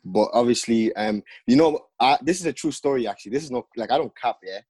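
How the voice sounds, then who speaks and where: A young man from the Netherlands, a music artist and podcast guest, telling stories in relaxed, slangy casual chat with lots of fillers. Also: medium pitch, fast, breathy edge, dry recording.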